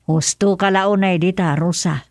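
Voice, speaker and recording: An older mother from the village, with an Ilocano accent and a slightly low voice. She talks sensibly and with concern, in the conversational manner of radio drama. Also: fairly even intonation, unhurried, a little mellow, dry recording, studio quality.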